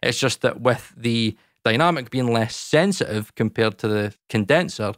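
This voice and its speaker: Scottish male, 30s, deep boomy tone, relaxed talky explainer delivery, very dry close-miked home studio recording. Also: normal speed, no echo. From Scotland. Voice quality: dark, boomy voice. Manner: chatty, lots of fillers, talks with his hands. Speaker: YouTube audio educator. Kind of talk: casual explainer talk to camera. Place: small home studio, indoors. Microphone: large dynamic mic close in front.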